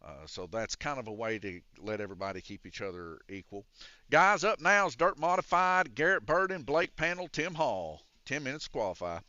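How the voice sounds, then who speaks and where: A man with a raspy, congested voice casually announcing an RC race at an indoor raceway, rambling through rules with lots of "uh" fillers. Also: medium pitch, expressive, fairly quick, pushed and bright, dry recording, dull audio. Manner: rambling and explanatory, with many "uh" fillers. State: congested from a chest cold. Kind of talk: casual live-stream commentary. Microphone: wired or wireless handheld mic.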